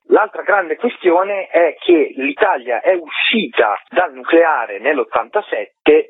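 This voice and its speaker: A man's voice: medium pitch, husky, dry recording. A man being interviewed over the phone as a documentary director, explaining his subject in an articulate, discursive and reflective way. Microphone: telephone. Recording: tinny narrow-band phone line.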